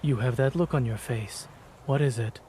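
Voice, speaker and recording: Game role: man as reserved, cool-headed male, dark mellow tone, calm restraint, faint noise behind. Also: medium pitch, normal speed, lightly acted. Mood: dry, questioning. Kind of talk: video game voice acting.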